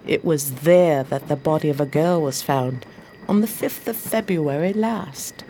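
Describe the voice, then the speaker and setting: A woman's voice: low-pitched, a little slow, mellow, rough recording. A woman playing a loyal, deferential police assistant who tells the story in a radio drama, composed and polite. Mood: measured recounting. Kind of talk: radio drama narration and dialogue. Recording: faint background noise.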